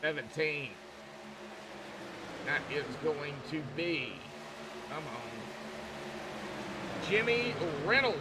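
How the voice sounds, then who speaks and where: A man's voice: on the high side, big pitch swings, very slow, pauses often, very noisy. A man with a Southern US accent calling a race live in a folksy, rambling way, often repeating phrases. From Arkansas, southern United States. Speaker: race commentator. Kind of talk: live sim racing commentary, folksy and conversational.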